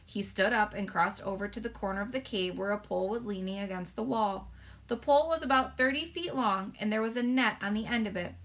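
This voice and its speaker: A woman reading a children's story aloud as narrator, in expressive scripted narration. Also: medium pitch, a little fast. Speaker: storybook reader.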